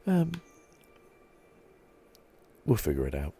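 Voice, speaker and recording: A man giving soft-spoken ASMR commentary as he plays a game, hushed, relaxed and meandering, close to the mic. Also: extremely low pitch, takes it slowly, gravelly, a little hiss or hum. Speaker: ASMR gaming host.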